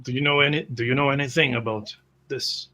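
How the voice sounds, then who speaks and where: A man's voice: medium pitch, fairly even intonation, fairly quick, bright, forceful tone. A man role-playing at a tabletop game, hesitantly describing his character's actions and searching for words.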